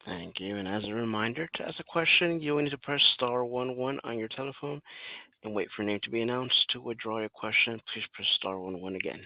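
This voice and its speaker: A man's voice: mid-range voice, animated, brisk, no echo. A man giving formal, scripted procedural instructions as a conference call operator. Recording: slightly dull close phone audio.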